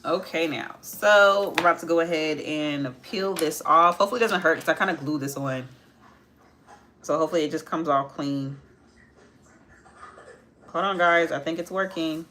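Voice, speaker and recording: Low-voiced young woman chatting through makeup. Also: normal speed, long pauses, a bit bright, a little hiss or hum. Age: 20s. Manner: chatty, answers viewer comments while working, repeats phrases. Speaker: makeup livestream host. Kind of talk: casual live makeup tutorial, chatting with viewers.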